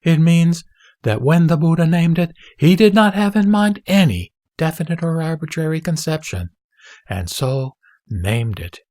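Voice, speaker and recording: A man playing the Buddha as a serene spiritual teacher, speaking in a measured, solemn, instructive way in a dramatised scripture reading. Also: fairly high pitch, lively intonation, warm tone, dry recording, clean studio sound. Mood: measured teaching.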